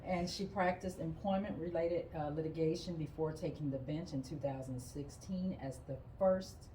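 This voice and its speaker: Low, mellow woman co-hosting a podcast. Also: moderate pace. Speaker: podcast co-host. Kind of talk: casual interview, introducing guests. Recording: echoey room, faint noise.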